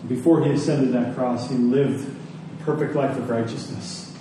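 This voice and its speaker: A man preaching a sermon as a pastor, speaking earnestly and deliberately. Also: medium pitch, fairly even intonation. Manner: earnest, rhetorical questions, deliberate pauses. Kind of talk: sermon closing in prayer. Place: large echoey room before a congregation. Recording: echoey hall, distant mic, faint noise.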